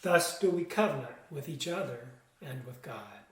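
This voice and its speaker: A man's voice: mid-range voice, expressive, moderate pace, a bit bright. A man in his sixties giving a solemn liturgical reading as a lay reader. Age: 60s. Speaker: church board officer, lay reader. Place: room in a home, seated at a table. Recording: roomy indoor echo.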